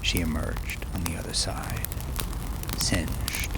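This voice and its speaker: A man narrating dark fiction in an intense, theatrical way, often sinking to a hushed murmur. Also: sits low, little pitch movement, slow, vocal fry, in a whisper, poor audio. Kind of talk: dramatic scripted fiction narration. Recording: loud music under the voice. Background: loud music.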